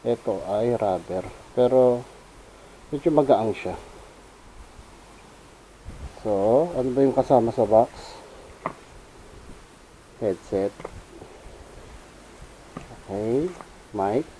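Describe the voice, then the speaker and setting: A man's voice: mid-range voice, extremely slow, pauses often, warm tone, slight background noise, poor audio. A Filipino man giving a casual, unscripted gear review as a motovlogger, informally mixing in English. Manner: informal, switches between Tagalog and English.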